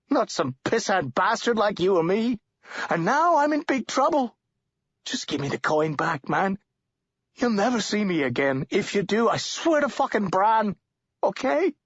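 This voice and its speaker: A man voicing a frightened, desperate male drifter in a storybook, pleading and agitated in slangy speech with a husky voice. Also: high voice, no echo, highs cut off, voice pushed up, heavily acted.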